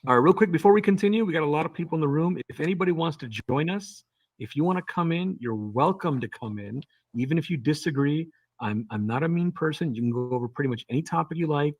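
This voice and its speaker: A man runs a call-in live stream, talking fast with a husky edge in a slightly high voice. He argues his points in a casual, repetitive way and keeps throwing in "man". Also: animated, no room sound, rough recording. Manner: argumentative, repeats words and says "man" a lot. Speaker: livestream host.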